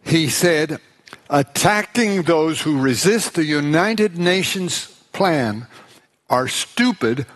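Male preacher, higher-pitched voice, slow deliberate delivery, rhetorical phrasing in short segments. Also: expressive. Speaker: preacher giving a lecture.

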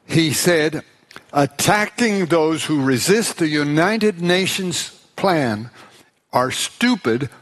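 Male preacher, measured and unhurried, slightly high voice. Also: animated, fairly bright tone. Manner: slow, deliberate, pausing for interpreter. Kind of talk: sermon with live interpretation.

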